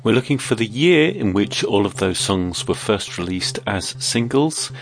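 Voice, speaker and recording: Quiz host with a cold: croaky man, animated intonation, reads questions clearly. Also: fairly low pitch, measured pace.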